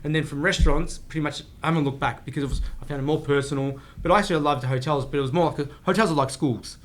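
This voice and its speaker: An Australian man in his forties, a chef telling his career story as a podcast guest, rambling with frequent restarts. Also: on the high side. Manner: rambling, restarts often, speaks in quick bursts. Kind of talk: casual storytelling interview.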